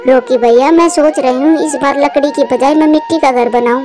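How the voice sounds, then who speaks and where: A woman's voice: high voice, steady tone, brisk, over music, lightly acted. A woman voicing an earnest, caring mother sparrow in a children's moral-story cartoon. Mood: earnest, hopeful.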